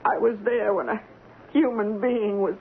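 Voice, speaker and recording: A woman's voice: mid-range voice, unhurried, close to an everyday voice. An actress playing a nervous, evasive landlady who stammers and protests, in a radio drama. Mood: scared. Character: frightened, flustered landlady, evasive witness to a murder. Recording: tinny, noisy old radio broadcast.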